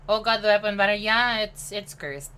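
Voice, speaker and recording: Chatty woman streamer, bright voice. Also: medium pitch, moderate pace, clean tone. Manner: chatty and upbeat, mixes English with Tagalog, laughs often, greets chat by name. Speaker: game streamer. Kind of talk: casual live-stream chat.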